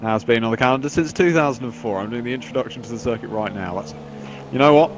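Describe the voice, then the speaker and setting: A man's voice: medium pitch, fast, noisy background. A man commentating a sim race in casual chat, sounding nasal from a cold, rambling and poking fun at himself. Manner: rambling, self-mocking, chatty.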